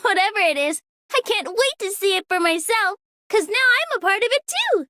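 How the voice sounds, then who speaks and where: A woman's voice: very high voice, very animated, moderate pace, bright, forceful tone, a little hiss or hum, full character voice. A woman voicing a cheerful, curious, wide-eyed young girl worker in a game. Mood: eager wonder. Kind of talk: scripted game character lines.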